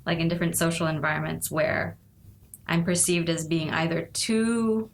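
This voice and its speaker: A woman's voice: low-pitched, fairly even intonation, normal speed, gravelly, a little hiss or hum. A woman in her forties from Nepal, a guest speaking thoughtfully in a casual interview, often laughing and saying "you know".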